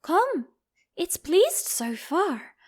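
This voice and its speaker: Woman doing a polite lil storybook girl, high lilting voice, curious n a bit timid. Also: big pitch swings, extremely slow, exaggerated voice. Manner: polite, earnest, questioning.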